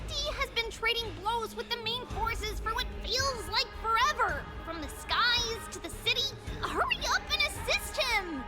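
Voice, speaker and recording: A woman playing an excitable, bossy little girl in an anime-style video game, exclaiming theatrically. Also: extremely high pitch, moderate pace, few pauses. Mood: urgent, scolding. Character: childlike trio of bossy, excitable little girls. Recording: game music behind the voice.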